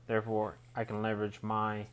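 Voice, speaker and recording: Man, rambling, low-pitched. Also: completely flat delivery, brisk, clean tone. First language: Spanish. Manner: rambling, restarts phrases often. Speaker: podcast host. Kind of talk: solo reflective monologue.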